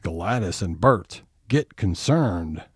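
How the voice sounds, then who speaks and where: A man playing the narrator of an audio theatre comedy, reading scripted scene-setting lines in a measured way. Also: low-pitched, lively intonation, breathy edge, heavily acted.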